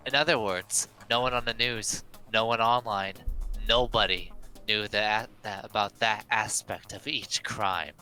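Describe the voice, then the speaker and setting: Smug young male aristocrat , played by a man, declaiming in a lowish sharp voice over faint game music. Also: fairly even intonation, a little slow, clean tone, poor audio. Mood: lecturing. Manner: pompous, declaiming. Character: haughty, pompous young heir relishing a murder mystery.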